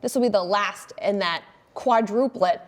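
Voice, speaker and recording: A woman's voice: mid-range voice, moderate pace. A woman hosting a sports talk show in an upbeat presenting style.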